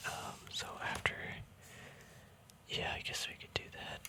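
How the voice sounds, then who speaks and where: A man whispering casual ASMR to viewers in a breathy, unvoiced voice, rambling and thinking aloud without hurry, very close to the mic. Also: unhurried. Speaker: ASMR host. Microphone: mic very close to the mouth and nose.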